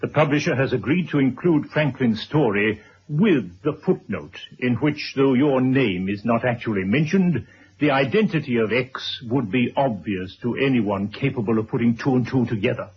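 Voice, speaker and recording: A man acting a stern, unbending writer in a radio drama, speaking formally and deliberately with a husky voice. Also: mid-range voice, lively intonation, close to an everyday voice. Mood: accusing. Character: stern, unbending male writer confronting an old acquaintance. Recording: dull, muffled old radio recording.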